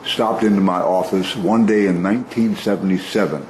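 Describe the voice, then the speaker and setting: A man from the Washington DC area in his sixties, a veteran radio broadcaster telling stories in a relaxed interview, often restarting his sentences. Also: low-pitched, normal speed, a little hiss or hum, low-quality recording. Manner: warm reminiscing, frequent restarts and soft laughs.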